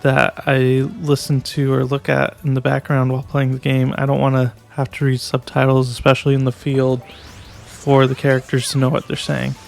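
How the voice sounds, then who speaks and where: A man's voice: medium pitch, monotone, moderate pace, gravelly, darkish tone, soft background music. A young man giving a casual video game review, hesitant and restarting his sentences often. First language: English.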